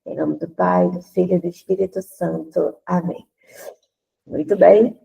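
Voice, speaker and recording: A congested woman speaks with a stuffy, nasal voice, gravelly and dark in tone. She talks at an unhurried pace, gently and deliberately, as she leads a women's faith group. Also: lowish voice, rough recording. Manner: gentle, deliberate, repeats phrases while searching for words. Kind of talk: prayer then slow spoken teaching.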